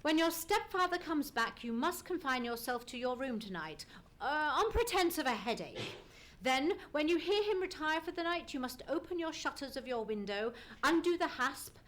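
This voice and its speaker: A commanding gentleman detective acted out by a performer in old-time radio style. The voice is high and swings widely in pitch. The diction is formal, exact and emphatic. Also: big pitch swings, normal speed, slight background noise. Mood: commanding, instructive. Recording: live stage radio play recording.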